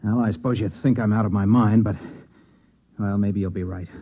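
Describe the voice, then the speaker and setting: An actor playing a dismal, shaken Martian man, halting and earnest, in an old-time radio drama. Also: lowish voice, steady tone, slightly rough. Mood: gloomy, uneasy. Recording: dull old radio recording.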